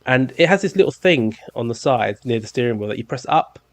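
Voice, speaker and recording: A London-accented man in his thirties rambles through a relaxed podcast chat, cracking jokes and filling gaps with ums. His voice sits in a middle range and is recorded close and dry, with no room sound. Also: medium pitch, a little fast, no echo. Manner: rambling, jokey, many ums. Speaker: podcast host. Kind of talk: casual chat.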